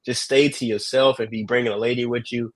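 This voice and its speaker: A man speaks at an everyday middle pitch, sharing advice in earnest and often repeating his words. He chuckles through his stories. His voice is recorded dry, close and plain. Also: fairly even intonation, a little fast, dry recording. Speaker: guest traveler. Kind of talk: casual advice in a chat.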